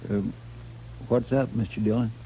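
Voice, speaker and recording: An actor playing a loyal, deferential frontier deputy with a folksy drawl, in 1950s radio western style. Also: male voice, medium pitch, steady tone, normal speed, dark tone, close to an everyday voice. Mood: earnest, respectful. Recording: muffled, hissy old radio recording.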